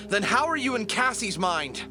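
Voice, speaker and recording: A woman's voice: medium pitch, sing-song, a little slow. A woman playing a captive, exasperated adventurer in an audio drama, incredulous and weary as they confront a villain. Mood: exasperated, wary. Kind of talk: scripted audio drama acting. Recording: music under the voice.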